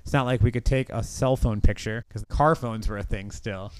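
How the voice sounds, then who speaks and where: A middle-aged man talks casually as a podcast host. His voice is clean and smooth, recorded close and dry, and he tells his stories in an earnest, reflective way. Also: fairly low pitch, normal speed, no echo.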